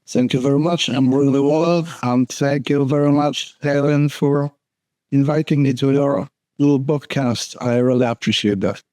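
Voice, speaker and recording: An older man with a Polish accent, a guest photographer answering questions in an earnest, unhurried way, often restarting his phrases. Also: medium pitch, fairly even intonation, dark tone, dry recording. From Poland. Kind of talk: casual interview.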